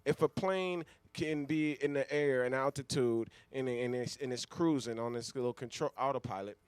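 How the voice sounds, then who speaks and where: An American man co-hosting a radio show with casual, profane, slangy banter and an animated street manner. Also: mid-range voice, normal speed, clear, resonant tone, no echo. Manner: animated street-slang talk, often asks "you know what I'm saying".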